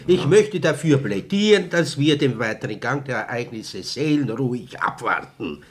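A man's voice: mid-range voice, lively intonation, normal speed, lightly acted. A man playing an earnest, stubborn party comrade in a German radio play, speechifying in an officious, repetitive way.

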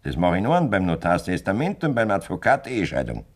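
A domineering aging farmer with a thick Bavarian accent, scheming and blunt. His voice sits low but moves a lot, rising and falling as he lays out his terms. It is a radio-play performance. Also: fast talker, full character voice. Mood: cold-blooded. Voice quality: blunt, self-assured and lively in tone.

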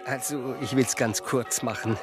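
A man's voice: mid-range voice, fairly even intonation, unhurried, music in the background, natural voice. An actor playing a long-winded, self-satisfied churchman who lectures pedantically in a radio drama. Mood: smug, lecturing.

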